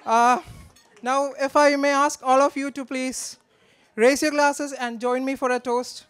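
A man in a notably high, smooth voice. He delivers a best man's toast at a wedding reception, reading from notes into a handheld mic. His delivery is teasing and fond, with a somewhat halting flow. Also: level tone, fairly bright tone. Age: 20s. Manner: joking, affectionate, halting delivery. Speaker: best man, groom's brother. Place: wedding reception in a large draped marquee. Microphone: handheld microphone.

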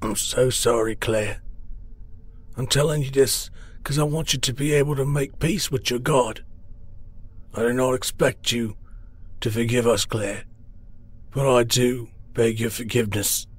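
A man voicing a grotesque, remorseful man-eating beast in a fantasy horror story, speaking gravely and in measured phrases. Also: medium pitch, fairly even intonation. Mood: sorrowful. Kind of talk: dramatised fantasy horror audiobook.

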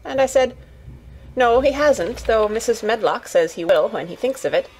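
A woman's voice: on the high side, animated, normal speed, darkish tone, a little hiss or hum, natural voice, low-quality recording. A woman voicing a cheerful young Yorkshire housemaid in a storybook, chatty and eager, with homely dialect and exclamations. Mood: earnest, chatty.

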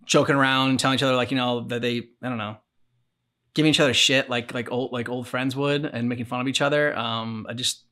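Hesitant man chatting, medium pitch. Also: steady tone, quick pace, sharp tone. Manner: hesitant, rambling. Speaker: co-host of a reaction show. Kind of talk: casual chat. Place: small furnished room, on a couch.

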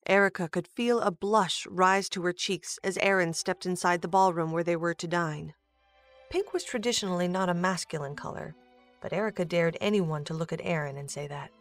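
A woman giving scripted narration for a storybook-style audio drama. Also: fairly low pitch.

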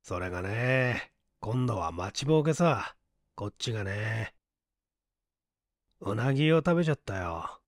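Easygoing male detective read by a man, lively intonation, unhurried, nonchalant, wry, audiobook character, close dry sound. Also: on the low side, dry recording. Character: easygoing, whimsical male detective, dryly evasive. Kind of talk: Japanese audiobook character acting.

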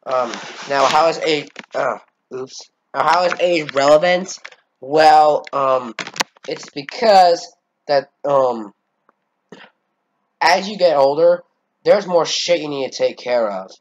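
A man's voice: fairly high pitch, slow pace, dull audio, slight background noise. A fourteen-year-old boy, congested, giving a rambling, hesitant channel update with casual swearing. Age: teens. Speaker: channel owner.

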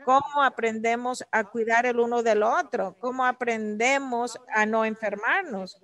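A woman working as a live interpreter for an academic talk, delivering it in halting, separate phrases. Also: fairly high pitch.

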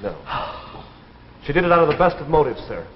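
A man's voice: on the high side, sing-song, brisk. Earnest, emphatic younger man, echoing. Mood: firm, earnest. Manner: grave, formal, emphatic. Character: earnest, firm young man defending a daughter. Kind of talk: 1950s live television drama acting. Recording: muffled, echoing, noisy 1950s TV soundtrack.

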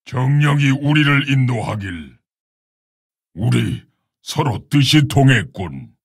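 An actor playing a proud, honorable orc warrior shaman in a video game, speaking in a gravelly, husky, solemn and commanding way. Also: mid-range voice, expressive, slow, no echo, clean studio sound, exaggerated voice. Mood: solemn, calm. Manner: solemn, commanding, archaic formal phrasing. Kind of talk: video game character battle lines.

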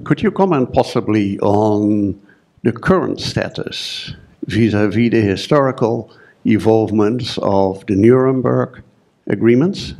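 An older man with a Dutch accent putting a formal, deliberately worded question from the audience at a conference. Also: on the low side, gravelly, darkish tone. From Netherlands, grew up in Germany. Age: 60s or older. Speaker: audience questioner, retired health scientist.

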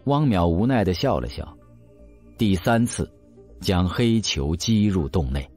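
A man's voice: low voice, expressive, a little slow, darkish tone, soft background music. A man narrating an audio drama in a scripted storytelling style.

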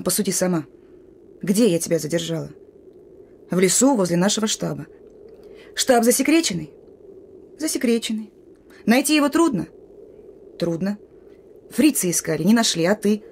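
A woman's voice: medium pitch, animated, normal speed, long pauses, a little mellow, slight background noise, close to an everyday voice. Actress as a brusque young partisan sentry woman. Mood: suspicious, earnest. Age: young adult. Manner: colloquial, brusque. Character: young wary female partisan sentry, gruff but soft-hearted. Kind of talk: radio drama acting.

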